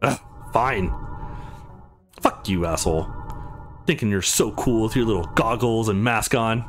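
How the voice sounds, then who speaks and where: A man's voice: fairly low pitch, a little slow, faint music behind. A man doing let's play commentary, reading a visual novel's lines aloud with acted delivery and his own reactions between them. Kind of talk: gaming commentary with read-out dialogue.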